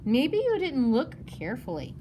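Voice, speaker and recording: A woman voicing a gentle, caring mother of young girls in a storybook. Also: sits high, huge pitch swings, moderate pace, slight background noise. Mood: troubled.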